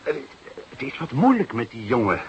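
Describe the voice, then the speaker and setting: An actor playing a genial, shrewd middle-aged Dutch police inspector in a radio drama, with drawn-out interjections and an avuncular way of talking. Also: male voice, medium pitch, wildly swooping pitch. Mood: pensive. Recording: noisy, dated radio play recording.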